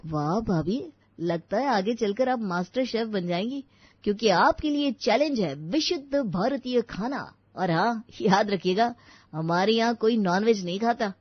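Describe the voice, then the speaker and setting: A woman's voice: medium pitch, expressive, unhurried, no room sound, muffled recording. A woman voicing a cheeky, demanding young man, a teasing brother-in-law in a story.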